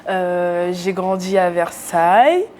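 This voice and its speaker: A woman's voice: on the low side, slow, a little mellow, slight background noise. A young woman from Cameroon, a YouTuber guest in a casual interview, talking with many hesitations.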